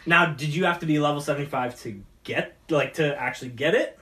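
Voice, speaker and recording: A woman's voice: sits low, a little fast, fairly bright tone. A woman co-hosting a talk show, chatting in a jokey, giggly way while mixing drinks.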